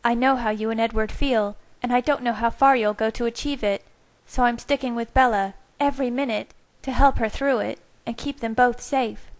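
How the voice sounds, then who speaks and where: A woman's voice: on the high side, fairly even intonation, normal speed, hardly any pauses, pitched above the performer's own voice, lightly acted. A woman voicing a fierce, protective young woman who snaps and spits her words in defiance. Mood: defiant. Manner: spitting, snapping defiance.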